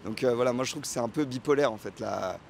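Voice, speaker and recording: A man's voice: mid-range voice, fast, creaky. A man in his thirties giving his opinion casually in a street interview. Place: outdoors on a city street. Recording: faint urban street noise, traffic.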